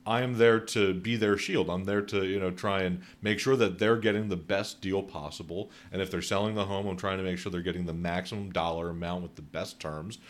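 A man's voice: deep voice, fairly even intonation, fairly quick, few pauses. A man hosting a casual interview podcast, a realtor who asks questions with upbeat affirmations.

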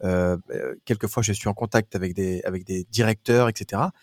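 French man, rapid anecdotal guest, dry close recording. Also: lowish voice, fairly even intonation, a little mellow, no echo. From France. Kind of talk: casual conversation.

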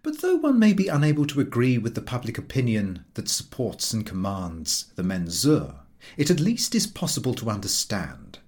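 A man narrating a literary essay as an audiobook reader, in measured, emphatic, oratorical phrasing. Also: medium pitch, huge pitch swings, a little mellow.

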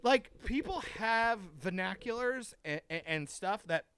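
Profane ranting man, high lively voice. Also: measured pace, smooth, no echo. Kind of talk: casual ranting chat.

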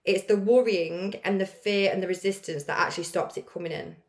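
A young woman hosting her own podcast, with a croaky, deep voice, giving earnest, chatty pep talks and laughing often. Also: steady tone, brisk. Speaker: podcast host. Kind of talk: casual motivational solo podcast.

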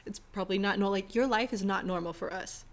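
A woman's voice: medium pitch, fast talker. Informal woman hosting an interview podcast. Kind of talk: casual chatty interview.

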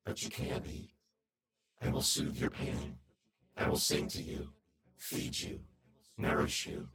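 A man voicing an ancient, seductive female entity in a hushed, breathy whisper for an audiobook. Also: very deep voice, lively intonation, takes it slowly, crowd noise, pitched below the performer's own voice, heavily acted. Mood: hushed, coaxing. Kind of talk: audiobook voice acting.

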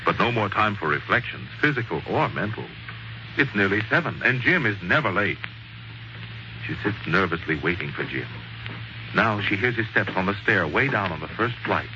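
Deep, expressive male radio narrator. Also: slight background noise. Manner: theatrical, measured storytelling. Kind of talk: 1940s radio drama scripted narration. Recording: muffled vintage 1940s radio broadcast. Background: prominent music.

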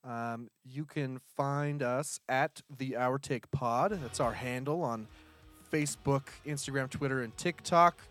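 A man's voice: mid-range voice, measured pace, a bit bright, soft background music. A man co-hosting a casual podcast chat, laid-back, laughing often and trailing off mid-sentence. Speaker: podcast co-host.

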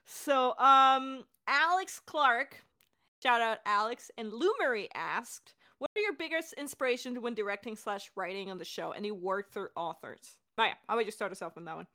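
A woman with a fairly high voice chats casually as a director answering questions. She is enthusiastic and giggly, talking off the cuff as she hosts. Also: lively intonation, normal speed, pushed and bright. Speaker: audio drama director and voice actor, hosting a Q&A. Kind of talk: casual unscripted Q&A chat.

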